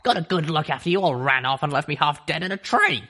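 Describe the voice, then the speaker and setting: A man voicing a gruff, sardonic female griffin mercenary who chain-smokes, with a drawl. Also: fairly high pitch, lively intonation, fast talker, pushed and bright, voice pushed up. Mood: blunt. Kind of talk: audiobook character voice.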